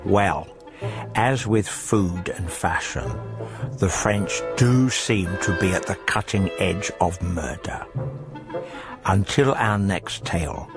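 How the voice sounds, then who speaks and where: A man playing a droll, deadpan host of a macabre radio anthology. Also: low-pitched. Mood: dryly amused. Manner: deadpan, droll, drawn-out delivery. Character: droll, macabre anthology host, an impression of a famous film director. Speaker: host signing off a horror anthology. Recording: music bed under the voice.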